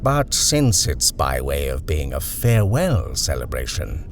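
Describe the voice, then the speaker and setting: Distinguished gentleman, courteous and calm, in the narrator's own low register. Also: a little slow, a little hiss or hum, heavily acted. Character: tall, distinguished, suave middle-aged gentleman.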